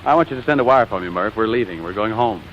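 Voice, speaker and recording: An actor playing a brash, wisecracking American show producer and scheming boss, in 1940s radio comedy style. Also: male voice, on the low side, lively intonation, quick pace, over a noisy crowd, lightly acted. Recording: muffled old radio broadcast, background music.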